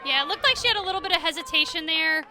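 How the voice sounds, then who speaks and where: American female color analyst, high register, conversational and explanatory, live outdoor stadium with crowd noise. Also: lively intonation, very fast. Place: outdoor stadium broadcast position. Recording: crowd and music behind, outdoors. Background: crowd and music.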